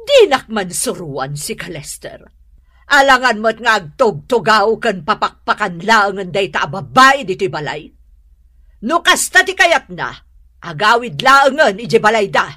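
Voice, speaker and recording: A harsh older mother-in-law in an Ilocano radio drama. Her husky voice swings widely in pitch as she scolds and complains, with an Ilocano accent. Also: big pitch swings, measured pace, pushed and bright, no room sound, studio quality, heavily acted. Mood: indignant, scolding. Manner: scolding, indignant.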